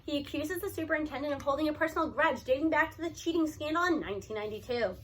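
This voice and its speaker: A woman narrates a story aloud in the first person. Her voice sits high and has a bright, forward edge. She reads expressively, stressing key words in an animated storytelling manner. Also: fast talker.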